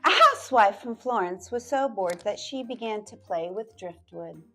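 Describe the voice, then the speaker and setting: A woman's voice: medium pitch, expressive, moderate pace, bright, forceful tone, faint music behind. A woman library storyteller reading a picture book aloud to children, stretching words out and dropping into playful whispered asides.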